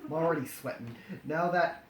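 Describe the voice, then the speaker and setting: A man's voice: a little high, moderate pace, a crowd in the background. A man reading a story aloud as comedy, breaking off into jokey, dramatized ad-libbed asides. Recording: roomy echo, faint noise and clicks.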